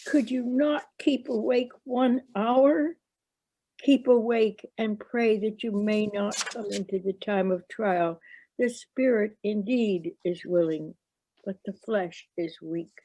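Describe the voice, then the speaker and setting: A woman reading the part of Jesus as a grave, sorrowful teacher in a solemn, deliberate scripture reading. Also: medium pitch, steady tone, dark tone, no echo, poor audio. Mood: reproachful.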